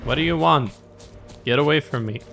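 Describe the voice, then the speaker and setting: A man's voice: a little high, normal speed, gravelly, a bit bright, a little hiss or hum. A man streaming a video game, casually thinking aloud as he comments on his play for himself and viewers. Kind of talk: casual live gameplay commentary. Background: game music.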